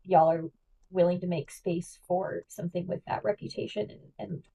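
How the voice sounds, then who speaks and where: A woman's voice: lowish voice, fairly even intonation, creaky, dark tone, breathy edge, far from the mic, low-quality recording. A woman co-hosting a podcast as guest, chatting eagerly about theater. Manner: enthusiastic, chatty, quick asides. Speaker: guest host. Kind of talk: casual podcast chat.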